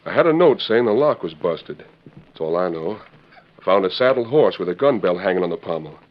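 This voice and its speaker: An earnest young cowboy in a radio western. He is plainspoken, his pitch moves widely, and the old recording is dull. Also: animated, brisk. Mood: resigned. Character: earnest, wrongly accused young western man. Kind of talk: 1940s radio western acting.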